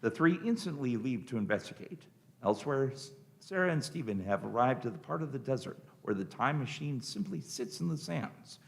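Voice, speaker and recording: Gravelly-voiced male radio drama narrator. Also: mid-range voice, expressive, moderate pace.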